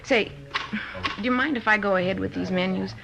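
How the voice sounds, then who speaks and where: An actress playing a blunt, slangy, plain-spoken American coffee-shop worker in a 1950s radio drama. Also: female voice, mid-range voice, fairly quick, gravelly, highs cut off, natural voice. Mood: offhand, busy. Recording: noisy old radio, typewriter clicking behind.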